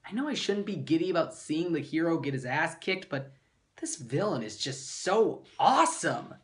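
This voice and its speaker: Sarcastic man reviewing with animated pitch. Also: moderate pace. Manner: sarcastic, mildly profane. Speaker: comic book reviewer and host. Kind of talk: scripted comedic review narration.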